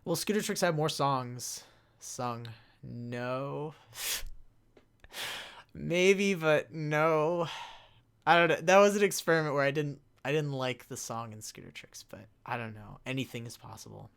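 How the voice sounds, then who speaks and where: Male, casual panelist in a live question session, noticeable vocal fry, rambling and stop-start delivery. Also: fairly high pitch, fairly bright tone. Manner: halting, rambling, self-interrupting. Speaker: panelist, web series creator answering fan questions.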